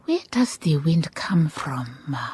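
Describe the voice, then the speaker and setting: An actress playing a compassionate, thoughtful woman detective in a radio drama, with a Southern African accent and gentle, measured delivery. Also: deep voice, big pitch swings, breathy edge, exaggerated voice. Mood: curious, probing. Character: thoughtful, compassionate Motswana woman detective, telling her case. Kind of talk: radio drama dialogue and reflective narration.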